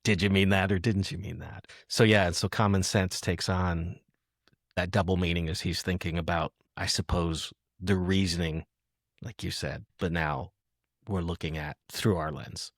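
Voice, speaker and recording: Man, podcast host. Very low voice, casual interview chat. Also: steady tone, moderate pace, creaky, no echo, clean studio sound.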